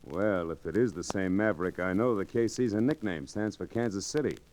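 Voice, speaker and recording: Brash, low-voiced saloon gambler played by a man. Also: brisk, darkish tone, natural voice. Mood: easy, amused. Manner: slangy, tough, offhand. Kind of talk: old-time radio western acting.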